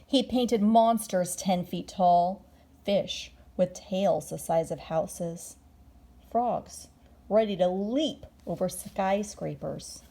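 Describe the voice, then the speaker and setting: A woman reading a children's bedtime story aloud expressively, drawing out words and dropping into hushed moments. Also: on the low side, takes it slowly. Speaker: storybook reader.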